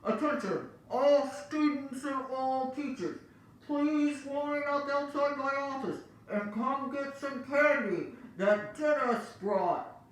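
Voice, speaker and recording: A woman voicing a stern male school principal who speaks slowly and commandingly, in a very echoey room. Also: fairly low pitch, big pitch swings, darkish tone. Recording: very echoey room, faint hiss.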